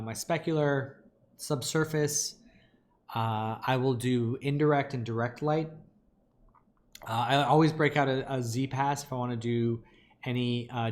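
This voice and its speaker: A man giving an informal online lecture as an instructor, his voice a little raspy and tired from talking, explaining things with emphasis on key points. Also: mid-range voice. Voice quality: slightly raspy. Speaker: animation lighting instructor.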